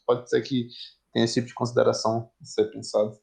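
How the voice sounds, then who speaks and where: Even-toned man explaining tentatively. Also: mid-range voice, fast talker, gravelly. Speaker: participant in an online discussion. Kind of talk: casual technical discussion.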